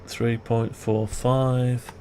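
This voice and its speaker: A man's voice: medium pitch, very slow. A man muttering to himself and questioning himself as he demonstrates design work, unscripted and thinking aloud. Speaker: video creator demonstrating CAD design. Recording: steady background noise, keyboard typing.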